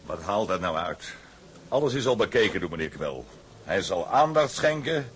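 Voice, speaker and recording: A man playing a cynical, gruff seafaring officer with a blunt, sardonic way of talking in a period drama. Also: sits low, big pitch swings, moderate pace.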